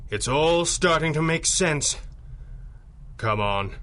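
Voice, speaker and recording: A stern masked superhero of a vintage radio serial. His voice is bright and forceful, with grave, dramatic authority in every phrase. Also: fairly high pitch, animated, slow. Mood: urgent, grave. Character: stern, commanding masked male superhero of 1930s city. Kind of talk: 1930s-style radio drama acting.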